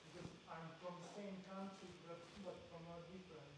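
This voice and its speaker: A man from Serbia asks a long question from the audience, stopping often to find his words. His voice is fairly high and comes from far off the mic in a very echoey room. Noise is clearly heard behind him. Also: fairly even intonation. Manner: halting, many pauses and uh fillers. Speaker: audience member asking a question. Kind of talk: hesitant spoken question. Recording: distant voice in a roomy hall with background noise.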